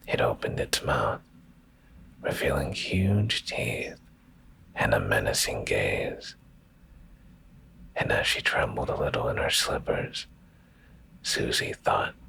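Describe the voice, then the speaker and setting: Male ASMR narrator for bedtime stories: heavy vocal fry, breathy whisper, deliberate pauses, expressive pitch movement. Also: a little hiss or hum. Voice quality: breathy, gravelly low whisper. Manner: slow, hushed, deliberate delivery with pauses.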